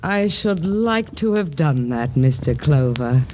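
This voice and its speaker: Casting: gloating high-society murder suspect, slow and swooping delivery, noisy vintage radio recording. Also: female voice, animated, darkish tone. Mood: gloating, amused. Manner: arch, languid, deliberate. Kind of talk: 1940s radio acting.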